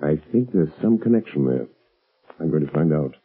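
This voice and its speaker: An actor playing a suave, mysterious crime-fighter with a measured, portentous delivery in a 1930s radio drama. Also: male voice, very deep voice, expressive, husky, heavily acted. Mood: calm, resolute. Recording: muffled tinny 1930s radio broadcast recording.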